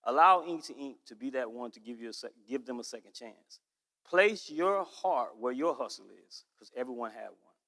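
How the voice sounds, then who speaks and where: Earnest man pitching in an echoey hall. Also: animated, pushed and bright. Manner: earnest storytelling with drawn-out emphasis. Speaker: nonprofit founder pitching. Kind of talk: rehearsed pitch speech. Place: stage in a large event hall.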